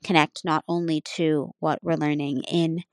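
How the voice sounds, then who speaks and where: Low-voiced thoughtful young woman student. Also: flat delivery, a little slow, dry recording, studio quality. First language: Nepali. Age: young adult. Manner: thoughtful, searching, full of "um" and "like". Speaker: college student. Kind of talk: casual spoken class reflection.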